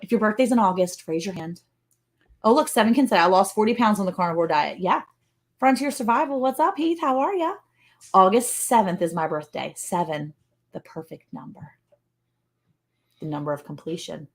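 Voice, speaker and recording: A woman's voice: mid-range voice, expressive, normal speed. A woman in her forties co-hosting a casual livestream from home, chatty and teasing, greeting viewers by name. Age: 40s. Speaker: co-host of a ranch livestream.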